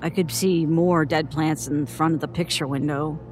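An actress playing a frightened woman haltingly recounting a childhood horror in an audio horror drama, sounding haunted. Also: low voice, steady tone, close to an everyday voice. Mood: uneasy, haunted. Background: music.

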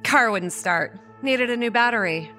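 An actress playing a proud, combative young woman who narrates her own horror audio drama in a stern, measured way. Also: a little high, expressive, pushed and bright, faint music behind. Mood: defensive. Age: young adult. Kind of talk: first-person dramatic narration with dialogue.

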